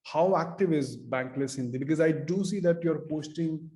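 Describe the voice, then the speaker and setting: A man's voice: a little high, moderate pace, warm tone. A man from India hosting a casual podcast interview, asking long, rambling questions. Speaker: podcast host.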